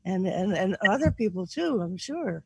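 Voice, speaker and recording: A woman giving casual, halting greetings on a group video call. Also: medium pitch, sing-song, vocal fry, dark tone, husky, no echo.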